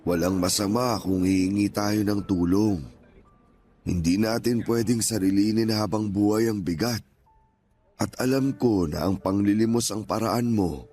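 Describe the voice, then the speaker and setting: Guy playing an older dad in a radio drama, low voice, hurt but gentle, pleading, music under it. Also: close to an everyday voice. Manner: earnest, emotional, pleading. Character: gentle, hurt elderly father pleading with grown child. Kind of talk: Tagalog radio drama acting.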